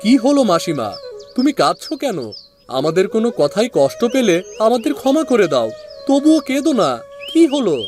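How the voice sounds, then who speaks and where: A man's voice: very high-pitched, sing-song, brisk, darkish tone, slight background noise. A man playing a kind, humble young village husband in a cartoon fairy tale, earnest and affectionate. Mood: worried, pleading. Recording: faint music behind.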